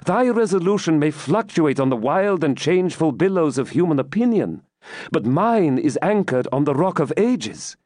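A man's voice: fairly high pitch, very animated, normal speed, a little mellow, no room sound, clean studio sound, voice pushed up. A man voicing a proud, devout young woman captive in an audiobook, with formal, archaic and earnest speech. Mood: solemn, pitying. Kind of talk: dramatised audiobook reading.

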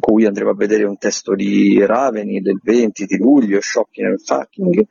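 A man runs through theatre listings as a radio critic, casually and with some hesitation. His voice is fairly low and very raspy, in a dry, slightly muffled recording. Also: normal speed, dark tone. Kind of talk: semi-scripted listings rundown on radio.

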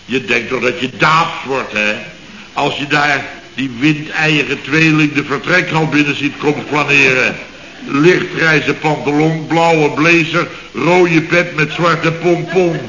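Blustering boss, exasperated storyteller, highish voice, lo-fi old radio. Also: male voice, takes it slowly, sharp tone, roomy sound, a little hiss or hum. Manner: exasperated comic storytelling, keeps exclaiming. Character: blustering, boastful male firm boss. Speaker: boss of a building firm. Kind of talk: 1960s radio comedy acting.

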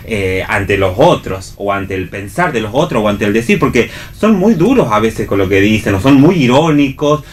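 A man's voice: fairly low pitch, big pitch swings, normal speed, hardly any pauses. A man with an Argentine accent hosting an unscripted cultural discussion on radio, reflective and often repeating words as he searches for the next one. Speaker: radio theatre show host.

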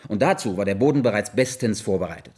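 A man's voice: fairly low pitch, animated, fast, darkish tone, breathy edge. An actor playing an earnest, methodical male investigator in a German radio play, speaking formally, precisely and insistently. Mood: earnest, accusing.

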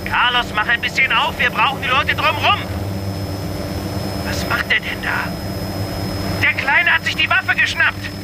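An actor playing a frantic, cynical television reporter in a helicopter, barking orders and swearing in a radio drama. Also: male voice, very high-pitched, a little slow, pauses often, noisy background. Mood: frantic, urgent. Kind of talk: German radio drama acting. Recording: loud aircraft drone and music behind.

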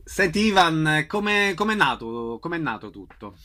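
A man's voice: a little high, sing-song, unhurried, pushed and bright. A man hosting a radio interview in Italian, introducing his guest and putting short questions.

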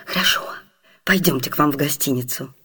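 A woman's voice: low-pitched, a little fast, pushed and bright, husky, full character voice. An actress playing a determined, adventurous young lady in love, in a theatrical radio drama style. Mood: resolute.

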